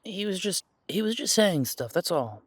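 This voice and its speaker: Man playing an earnest, higher-voiced young werewolf son. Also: sing-song, normal speed, a bit bright, breathy edge, no echo, exaggerated voice. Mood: upset, evasive. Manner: hesitant, defensive, eager to please. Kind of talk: audio roleplay drama acting.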